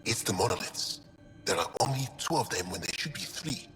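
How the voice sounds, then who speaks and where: A man's voice: on the low side, lively intonation, a little fast, fairly bright tone. An actor playing an earnest, thoughtful male alien scientist in a video game, explaining things a little hesitantly. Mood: earnest, concerned. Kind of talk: video game dialogue acting. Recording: long reverb, music underneath.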